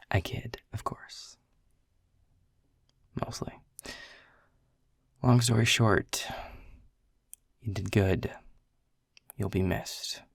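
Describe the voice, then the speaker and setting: A man's voice: darkish tone, no room sound, exaggerated voice. An actor playing a world-weary, sarcastic male middle manager who grumbles and teases dryly. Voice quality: low gravelly husky voice. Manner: sarcastic, grumbling, dry teasing. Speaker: boss giving a farewell speech. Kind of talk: audio roleplay acting. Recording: dry close mic.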